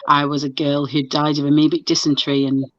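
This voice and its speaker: A woman's voice: low voice, little pitch movement, fast talker, no room sound. A woman hosting a casual video chat, telling stories in a chatty, stop-start way with lots of restarts. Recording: dull lo-fi sound.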